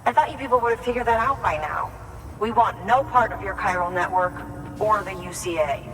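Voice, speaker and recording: A woman's voice: mid-range voice, brisk, fairly bright tone, faint music behind, natural voice. An actress playing a stern, defiant woman in charge of a city, curt and sarcastic, in a dramatic video game scene. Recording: roomy long reverb, distant, faint noise.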